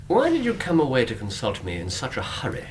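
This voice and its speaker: A British actor playing a shrewd, courteous, self-assured Victorian detective in a radio drama, with precise, theatrical, formal phrasing. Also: male voice, medium pitch, wildly swooping pitch, a little fast, slight background noise. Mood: probing, polite.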